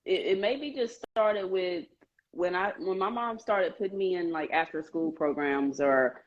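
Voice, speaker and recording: Low-voiced woman on a dull call line. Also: a little slow. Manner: earnest storyteller, frequent "um" and "you know". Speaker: guest, Air Force member. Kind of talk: casual interview chat.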